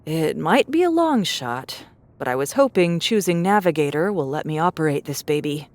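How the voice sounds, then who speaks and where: A woman's voice: sits low, big pitch swings, normal speed, darkish tone. A woman playing a pragmatic, self-assured young survivor in an audiobook drama, confident and matter-of-fact. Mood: confident, practical.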